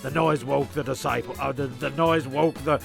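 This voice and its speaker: A man narrates a comic story in an offhand way. His medium-pitched voice is very gravelly, and he stumbles and repeats phrases while music plays clearly behind him. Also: steady tone, moderate pace.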